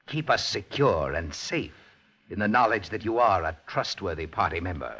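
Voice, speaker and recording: A domineering, menacing party boss in old radio drama style. The voice is deep and gravelly, swinging widely in pitch, with formal, sardonic commands. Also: male voice, animated, moderate pace, fairly bright tone, full character voice. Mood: demanding. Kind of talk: 1950s radio drama acting.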